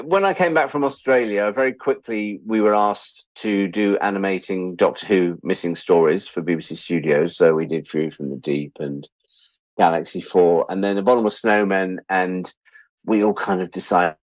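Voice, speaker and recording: Deep-voiced British man around sixty. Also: no echo. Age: about 60. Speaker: interview guest, freelance media producer. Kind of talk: casual reflective interview chat.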